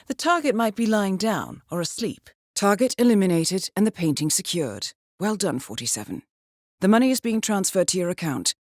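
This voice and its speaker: An actress voicing a cool-headed female spy handler in a video game, briefing an agent with measured, polished precision and dry wit. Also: fairly low pitch, darkish tone, no room sound. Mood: composed, businesslike. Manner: measured, composed, precise, understated. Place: studio.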